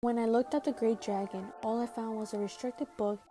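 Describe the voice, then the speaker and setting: A woman's voice: fairly high pitch, steady tone, fairly quick, dark tone, faint music behind, close to an everyday voice. A woman playing an earnest, curious teenage fairy schoolgirl in a cartoon fandub.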